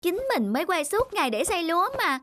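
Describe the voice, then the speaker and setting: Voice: very high voice, sing-song, fairly quick, sharp tone, a little hiss or hum, heavily acted. An actor voicing a naive, restless, childlike little windmill fan in a cartoon, earnest and childish. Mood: indignant, scheming. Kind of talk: cartoon acting.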